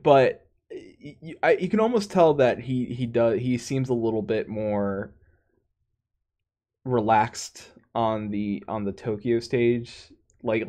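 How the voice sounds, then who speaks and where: A man's voice: medium pitch, a little mellow. A man co-hosting a casual gaming podcast, who talks in stop-start bursts, restarts his sentences and laughs often. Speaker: podcast co-host.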